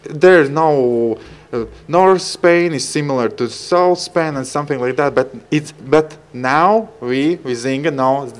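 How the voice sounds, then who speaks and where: A man's voice: on the high side, slow pace. A man with a Latvian accent, a visiting teacher answering interview questions in a hesitant way, searching for words and using many fillers. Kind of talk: casual radio interview.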